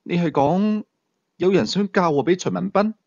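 A man's voice: high voice, moderate pace, gravelly, darkish tone, no room sound, muffled recording, pitched above the performer's own voice. A man with a Hong Kong Cantonese accent voicing a stern, stubborn police commander in an audiobook, earnest and argumentative. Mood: serious, challenging. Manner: earnest, insistent.

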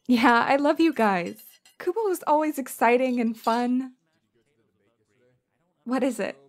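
Woman as friendly upbeat college girl, high pitched, easygoing audio drama style. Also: a little slow. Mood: cheerful. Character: cheerful, friendly young female student peacemaker.

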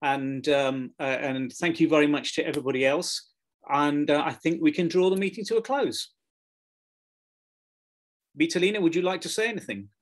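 A man moderating an online panel discussion over a video call, chatty and relaxed, with many fillers. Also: on the high side, normal speed, long pauses.

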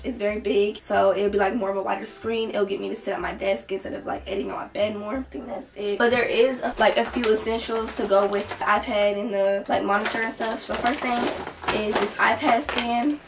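A woman's voice: mid-range voice, gravelly, a little hiss or hum. A young woman with a stuffy, fading voice chatting casually about her presents in her bedroom. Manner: chatty, rambling with many "like" fillers. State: congested, voice nearly gone. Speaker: vlogger. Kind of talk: casual haul vlog. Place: bedroom, indoors. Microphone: camera mic from a few feet away. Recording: voice a little far from the mic.

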